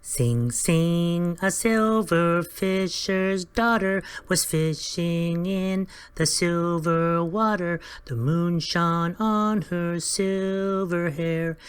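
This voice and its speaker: A woman's voice: medium pitch, smooth. A woman voicing a small, timid silver court jester in a dramatized audiobook, deferential and sing-song in rhymes.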